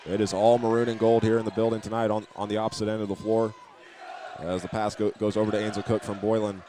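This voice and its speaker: A man calling live high school basketball play-by-play as a broadcaster from inside a gym. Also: little pitch movement, quick pace, mellow, a faint crowd. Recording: faint gym noise behind the voice.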